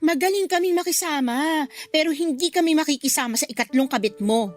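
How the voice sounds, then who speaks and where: Radio drama, female: actress as sassy, complaining Filipina mistress, high-pitched, light music underneath. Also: fairly quick, lightly acted. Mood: indignant. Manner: sassy, exclamatory. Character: feisty, sassy young kept woman, quick to complain. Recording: music behind the voice.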